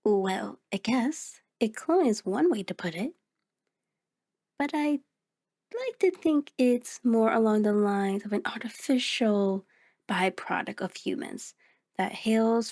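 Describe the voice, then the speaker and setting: A woman's voice: mid-range voice, lively intonation, measured pace, darkish tone. Chatty, sheepish mirror reflection voiced by a woman. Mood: chatty, reassuring. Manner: conversational, hesitant, explanatory, with musing asides. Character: sentient female mirror reflection, sheepish, chatty, patient explainer. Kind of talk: ASMR audio roleplay, speaking directly to listener.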